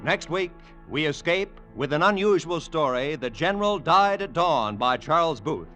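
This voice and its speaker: A man's voice: a little high, lively intonation, moderate pace, pushed and bright. A man announcing scripted credits in the style of 1950s radio. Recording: vintage radio with clear music behind.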